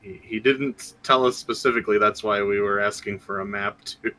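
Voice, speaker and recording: Polite, hesitant man with a bright voice. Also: fairly low pitch, steady tone, moderate pace, poor audio. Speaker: tabletop game player. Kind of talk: casual in-character play.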